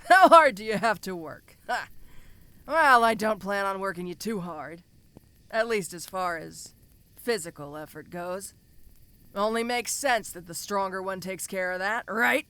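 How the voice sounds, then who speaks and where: A woman's voice: medium pitch, a little slow, a bit bright, smooth, dry recording, close to an everyday voice. A woman voicing a domineering giant ogre mercenary in an audio roleplay, speaking to the listener in a casual, commanding, teasing way. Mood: reassuring, teasing. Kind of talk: first-person audio roleplay addressed to the listener.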